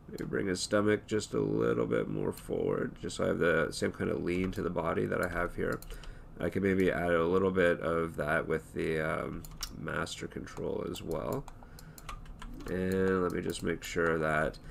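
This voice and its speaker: A hoarse man talking through an animation tutorial in a relaxed, thinking-aloud way, with many fillers and restarts. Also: low voice, slight background noise. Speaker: animation instructor. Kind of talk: screen-recorded tutorial talk.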